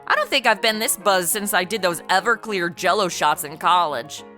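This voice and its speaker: A woman's voice: fairly low pitch, lively intonation, normal speed, bright, forceful tone, faint music behind. A woman with an American accent playing a brash, boozy modern party girl, bantering and narrating in a comedy audio drama. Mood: tipsy, snarky. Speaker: lead of the show.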